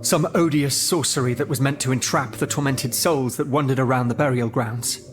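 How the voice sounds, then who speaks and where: A man voicing an erudite gentleman with a taste for the macabre in a video game, grave and ornate. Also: mid-range voice, fairly even intonation, normal speed, music in the background, close to an everyday voice. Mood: portentous. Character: erudite, brooding French gentleman fond of the macabre. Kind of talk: scripted video game cutscene dialogue.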